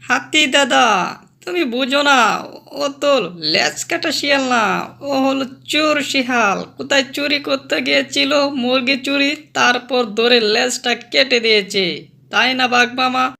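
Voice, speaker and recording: Brief: sneering cartoon animal, voiced by a woman, lower than her usual voice. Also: fairly even intonation, moderate pace, vocal fry, pushed and bright, exaggerated voice. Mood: taunting. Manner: taunting. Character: spiteful gossiping forest animal.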